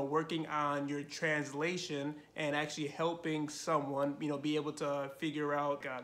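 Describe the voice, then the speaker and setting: A man in his thirties hosting a language-learning channel, giving casual tips to camera from his living room couch, animated and full of "you know" fillers. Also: fairly high pitch. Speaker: Spanish learning channel host.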